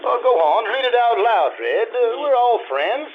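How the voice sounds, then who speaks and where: A breezy ex-husband in an old-time radio play acted by a man, teasing and wry. His voice is high and animated, on a muffled recording with music behind. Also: moderate pace, slight background noise, heavily acted.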